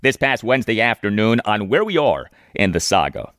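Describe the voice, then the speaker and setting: A man's voice: on the low side, very animated, fairly quick, a bit bright, no echo, clean studio sound. A man hosting a podcast, moving between commentary and sponsor reads. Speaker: podcast host.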